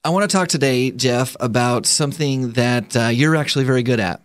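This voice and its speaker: Guy hosting a studio radio talk show, mid-pitched voice, lots of ums and laughs. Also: a little fast, no room sound. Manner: chatty, laughs often, many fillers and restarts. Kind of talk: casual studio chat. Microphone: studio microphone.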